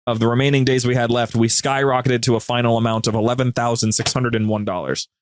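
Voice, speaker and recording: A man talks casually as a guest on a podcast, spinning out stories with animated emphasis and frequent detours. His voice sits at a middle pitch, and the sound is very dry. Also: quick pace, no room sound. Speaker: podcast guest, co-host of a gaming media group. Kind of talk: casual chat, storytelling.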